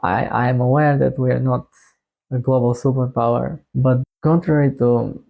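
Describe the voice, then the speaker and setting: A young man from Bulgaria with a Bulgarian accent, talking casually to his viewers in a halting, hesitant way. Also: medium pitch, fairly even intonation, vocal fry, lo-fi sound. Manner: halting, hesitant delivery with long phrasing breaks. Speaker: YouTuber introducing a music channel. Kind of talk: casual to-camera monologue.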